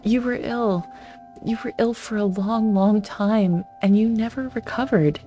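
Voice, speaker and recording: A woman's voice: medium pitch, measured pace, dark tone, soft background music, pitched above the performer's own voice, natural voice. A woman voicing a grieving young wife, tearful and pleading behind a closed door.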